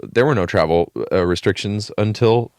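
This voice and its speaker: A young man with a deep, low voice talks through a long story in a relaxed, rambling way. He swears now and then and leans on fillers. The sound is dry and close to the mic. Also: normal speed, no echo. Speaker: podcast co-host. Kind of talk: casual chat.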